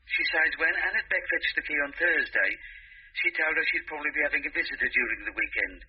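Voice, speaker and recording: Very husky policeman reporting. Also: on the high side, expressive, fast talker. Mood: earnest, reporting. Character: dutiful junior policeman reporting to his superior. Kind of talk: radio drama acting, phone report. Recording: muffled telephone-style radio drama sound.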